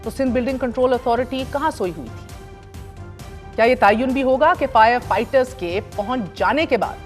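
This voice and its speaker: A woman's voice: fairly high pitch, animated, normal speed, faint music behind. A woman, hosting a news talk show, delivering scripted commentary with indignant, pointed rhetorical questions.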